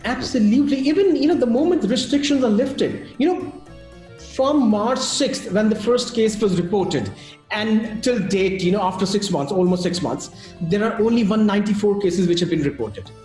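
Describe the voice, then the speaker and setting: A man's voice: high-pitched, moderate pace, darkish tone, echoey room, faint music behind, poor audio. A man who founded a travel company talks eagerly on a video call and fills his speech with "you know". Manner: enthusiastic, many fillers.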